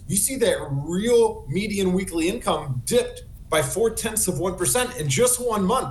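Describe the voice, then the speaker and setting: A man delivers articulate analysis in a fairly high voice. He sounds like he is in an echoey room, with some background noise. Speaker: economic commentator, interview guest. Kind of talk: analytical broadcast commentary.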